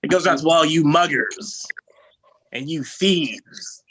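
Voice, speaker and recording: A young man from Texas doing a stand-up set, halting and filled with "uh" and "um". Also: on the high side, fairly bright tone, low-quality recording. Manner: halting delivery with many fillers. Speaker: stand-up comedian.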